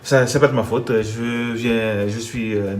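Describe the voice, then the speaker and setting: A man's voice: medium pitch, fairly even intonation, unhurried. Hesitant Dutch-accented man cooking. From Netherlands. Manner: hesitant, many fillers and restarts. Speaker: home cook. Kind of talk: casual cooking video.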